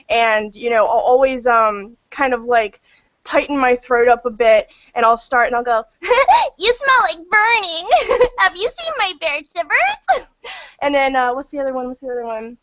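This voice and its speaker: A woman's voice: fairly high pitch, fairly bright tone, no echo. A woman chatting casually on a video hangout, giggly and full of fillers. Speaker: game master panelist. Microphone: video call microphone. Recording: dull, dry call audio.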